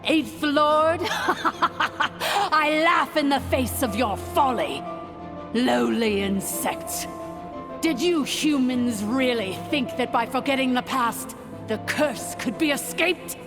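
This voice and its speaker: A woman's voice: high-pitched, takes it slowly. A woman playing an arrogant, cruel, ancient dragon ruler in a video game, haughty, grandiose and contemptuous. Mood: scornful, grandiose. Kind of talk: video game villain acting. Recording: long reverb, music behind.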